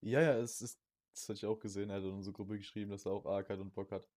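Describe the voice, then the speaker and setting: A young man co-hosting a podcast in casual chat, using youth slang and often halting to search for words. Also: on the low side, steady tone, darkish tone, no room sound. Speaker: podcast co-host.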